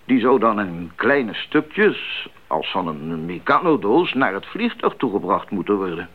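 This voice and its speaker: A genial, experienced missionary doctor in a radio drama. His voice swings expressively over a lower-than-average pitch as he explains things like a fatherly mentor, deliberate and earnest. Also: very animated, phone-quality sound. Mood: grave, explanatory. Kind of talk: Dutch radio play acting.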